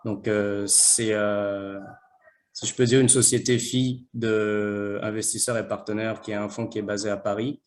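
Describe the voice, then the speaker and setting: A man's voice: fairly low pitch, flat delivery, normal speed. A man who works for an impact investment fund, speaking on a webinar panel in a hesitant way, with many fillers and restarts.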